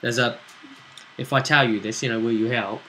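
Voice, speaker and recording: British male game master, forceful bright tone, halting casual recap, faint noise behind. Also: medium pitch, fairly even intonation. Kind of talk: casual recap of a tabletop role-playing game.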